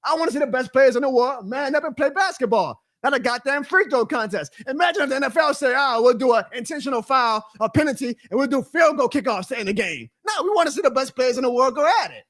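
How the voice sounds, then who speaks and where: A man's voice: very high voice, expressive, quick pace, hardly any pauses, fairly bright tone. A man in his thirties hosting a live sports talk stream, ranting with energy and laughing often. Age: 30s. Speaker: show host.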